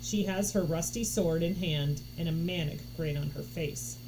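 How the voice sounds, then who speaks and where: A woman reading a story aloud in an expressive narration style. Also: low voice, moderate pace. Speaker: audiobook reader. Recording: noticeable background noise.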